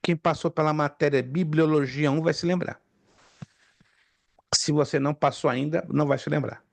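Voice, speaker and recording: A man's voice: fairly high pitch, fairly even intonation, normal speed, gravelly. A man teaching a theology class, wandering into stories and putting questions to his students. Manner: digressive, asks the class questions. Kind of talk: classroom lecture.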